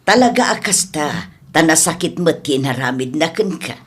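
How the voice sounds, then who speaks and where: A woman playing a frank, teasing older mother-in-law in a radio comedy drama, with an Ilocano accent and expressive interjections. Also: deep voice, moderate pace, slightly rough. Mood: sympathetic.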